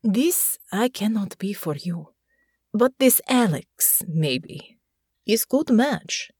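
A woman voicing a blunt, warm young commoner with a foreign accent and broken, plainspoken phrasing. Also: on the low side, animated, takes it slowly, breathy edge, no room sound, clean studio sound, full character voice. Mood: fond.

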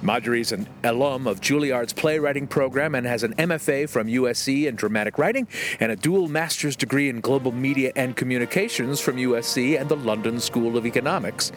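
A man's voice: medium pitch, fairly quick. A man with an American accent reading a scripted podcast introduction as the host. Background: music under the voice.

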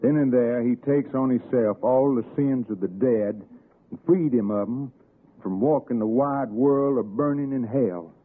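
A man's voice: medium pitch, unhurried, slight background noise, natural voice. An actor playing a grave, menacing hill man with an Appalachian accent, folksy and ominous, in a 1960s radio suspense drama. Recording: narrow, lo-fi vintage radio recording.

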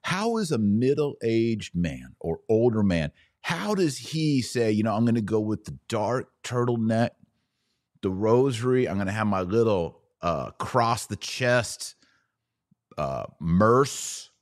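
Male American podcast commentator, lower register, informal and mocking, opinionated delivery in a dry studio sound. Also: big pitch swings, slow, no room sound. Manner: informal, slangy, mocking, incredulous. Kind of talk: opinionated religious commentary to an audience.

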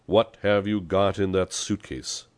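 A man voicing a big, hot-tempered communist boss in a story, gruff and jeering, given to emphatic outbursts. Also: low voice, unhurried, no echo, voice pushed up. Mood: challenging.

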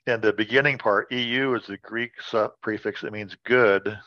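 A man's voice: on the low side, fairly even intonation, moderate pace. A man co-hosting a casual talk show, joking around with playful wordplay. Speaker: show co-host. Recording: dull lo-fi, roomy echo.

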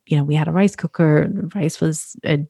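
A woman's voice: low voice, steady tone, moderate pace, gravelly, mellow, slightly rough, no room sound, clean studio sound. A woman guest on a podcast, talking casually about her family, laughing easily and repeating words as she goes. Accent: London English. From Curacao. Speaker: podcast guest, food photographer. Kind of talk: casual conversation.

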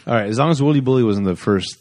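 Lively-voiced man asking podcast questions. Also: medium pitch, very fast. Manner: laughs often, asks short follow-up questions. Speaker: podcast host. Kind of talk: casual interview chat.

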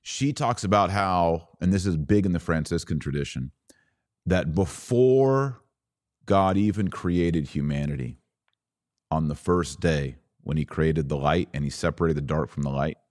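An American man with a very deep voice presents a religious teaching podcast. He explains point by point in a didactic manner, setting off key words with deliberate pauses. The sound is dry, with hardly any room to it. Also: a little mellow, dry recording. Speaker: podcast host.

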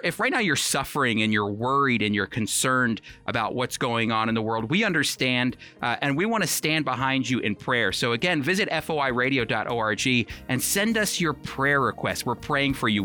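A man's voice: mid-range voice, pushed and bright. A man hosting a radio Bible program, talking conversationally in an earnest, encouraging way. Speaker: radio host and Bible teacher. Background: music.

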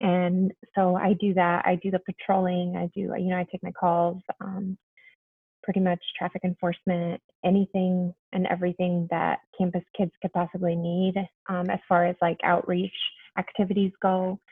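A woman's voice: lowish voice, monotone, moderate pace, dry recording. Bubbly woman on a tinny line. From Mexico. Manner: bubbly, chatty, frequent ums and laughs. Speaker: guest, police officer. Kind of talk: casual interview chat. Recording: dry tinny phone-like line.

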